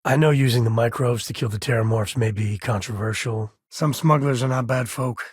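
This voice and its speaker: An actor playing a rugged, warm-hearted frontier ranger and single father in a video game, with a folksy, laid-back drawl and dry wit. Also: medium pitch, moderate pace, slightly rough, dry recording, lightly acted. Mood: earnest. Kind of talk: video game voice acting, companion dialogue.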